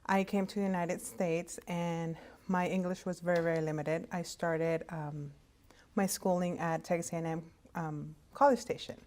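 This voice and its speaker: A woman whose first language is Spanish, giving a casual career talk to students in a conversational, halting way, over a video call. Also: lowish voice, fairly even intonation, darkish tone. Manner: conversational, halting with frequent fillers and restarts. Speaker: hospital interpreter services director. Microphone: video call setup.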